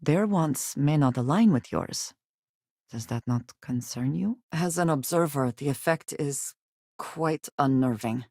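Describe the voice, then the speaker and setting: A low-voiced, formal woman space explorer. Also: darkish tone, dry recording, studio quality. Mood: wary, reflective. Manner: measured, formal phrasing with few contractions. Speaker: video game voice actress. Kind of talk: scripted video game companion dialogue.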